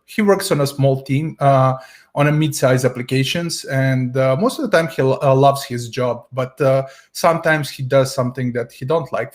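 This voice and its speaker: A man from Serbia, an English speaker, giving a conference talk over a video call in a storytelling way with frequent hesitations. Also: medium pitch. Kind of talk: tech conference presentation.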